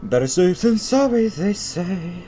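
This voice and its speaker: A man's voice: high-pitched, measured pace. A man livestreaming a game, reading its story text aloud and commenting in an irritated, heavily profane way. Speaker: video game streamer. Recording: steady background noise.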